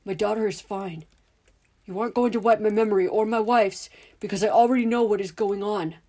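A woman voicing an angry, protective middle-aged father who shouts defiantly. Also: medium pitch, steady tone, moderate pace, husky, natural voice. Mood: stern.

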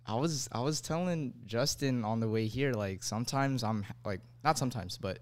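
A man's voice: mid-range voice, normal speed. A teenage guy, a guest who produces the show, speaking eagerly and saying "like" often. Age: teens. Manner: eager, lively, says like a lot. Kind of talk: casual chat.

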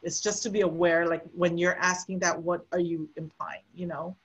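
A woman's voice: on the low side, level tone, normal speed, slightly rough, lo-fi sound. A woman from the United States co-hosting a podcast, explaining her views earnestly in long, casual stretches. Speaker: podcast co-host.

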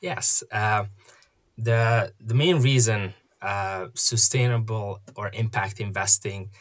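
A man from Hungary, a podcast guest explaining investing in a measured way with frequent pauses and fillers. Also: fairly low pitch. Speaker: guest, investment app founder. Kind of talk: podcast interview.

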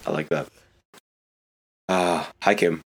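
A man co-hosting a casual podcast over a video call, with a deep, gravelly voice and quick joking reactions. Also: fairly even intonation. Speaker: podcast co-host.

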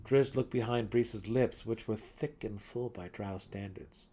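A man's voice: on the low side, unhurried, dark tone, a little hiss or hum. A man reading scripted fantasy narration in a dramatic, intense and theatrical way. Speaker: audiobook narrator.

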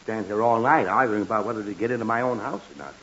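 A man's voice: on the low side, quick pace, lightly acted. An American man acting a grumpy, sputtering middle-aged husband and father in a 1940s radio comedy. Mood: grumbling. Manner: blustering, sputtering. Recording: muffled hissy 1940s radio broadcast.

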